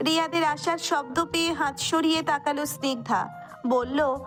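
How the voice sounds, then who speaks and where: High-voiced woman narrating a story. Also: normal speed, fairly bright tone, faint music behind. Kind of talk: scripted romantic story narration.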